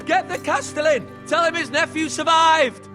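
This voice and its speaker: An actor playing an urgent castle guard in a fantasy video game, calling out loudly to raise the alarm. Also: male voice, very high-pitched, steady tone, normal speed, over music.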